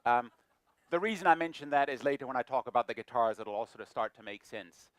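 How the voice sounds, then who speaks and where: Middle-aged man as a guest speaker, joking, self-mocking, mid-pitched, dry room. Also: fast, no room sound. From New Zealand. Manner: jokey, self-deprecating, lively. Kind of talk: lecture talk. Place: lecture room.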